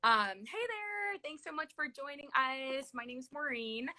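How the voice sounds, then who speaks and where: A woman's voice: high-pitched, animated, a little slow, bright, forceful tone. A woman in her late thirties with an American accent, telling personal stories in a chatty, casual way as a livestream guest. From California, USA. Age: late 30s. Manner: chatty, peppered with "like" and "literally".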